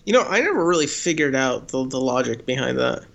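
A man's voice: mid-range voice, big pitch swings, brisk, gravelly, a bit bright, highs cut off. A man co-hosting a podcast, talking casually about a film, swearing freely and puzzling things out aloud. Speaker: podcast co-host.

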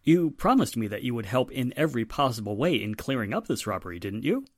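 An actor playing a firm, courteous police inspector with a formal, authoritative manner in 1940s radio drama style. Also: male voice, medium pitch, big pitch swings, fast, no echo, clean studio sound. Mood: polite, measured.

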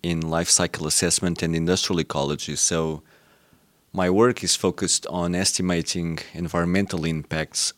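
Brief: male professor guest, very low voice, precise academic speech, dry close mic. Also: no echo. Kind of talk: podcast guest interview.

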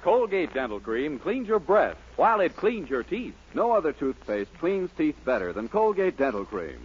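A man's voice: fairly high pitch, sing-song, normal speed, a little hiss or hum. A man reading a commercial as a 1940s radio announcer in a scripted sales style. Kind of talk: 1940s radio advertising read. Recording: muffled old 1940s radio recording.

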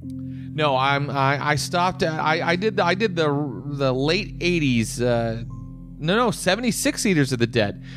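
A man's voice: fairly high pitch, expressive, unhurried, over music. A man in his thirties, a podcast guest who rambles through memories and keeps correcting himself. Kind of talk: casual podcast chat.

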